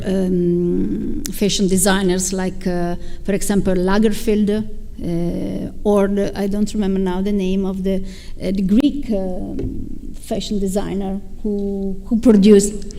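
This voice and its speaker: Woman lecturer, halting, repeats words, long hall echo. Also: mid-range voice, fairly even intonation, warm tone. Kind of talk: answering questions after a lecture.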